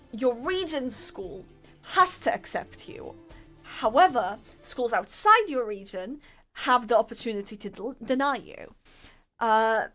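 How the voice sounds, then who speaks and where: Young Polish-native woman streamer, slow laughing anecdote, faint music behind. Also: mid-range voice, a bit bright. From Poland. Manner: giggly, self-mocking, chatty. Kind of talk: casual storytelling to chat.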